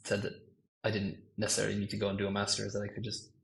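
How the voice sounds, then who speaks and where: A man's voice: lowish voice, completely flat delivery, racing, vocal fry, fairly bright tone. A man in his thirties with an Irish accent, chatting as a podcast guest, rambling with lots of ums and self-corrections. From Ireland. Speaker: guest, machine learning engineer. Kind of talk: casual podcast chat.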